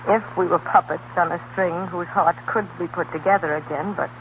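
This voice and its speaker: An earnest young schoolteacher played by a woman in an old radio drama, intense and full of feeling. The sound is thin and narrow, with clear noise behind the voice. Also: on the low side, steady tone, fairly quick, lightly acted. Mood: bitter. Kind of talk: 1930s radio drama acting. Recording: noisy, tinny 1930s radio broadcast.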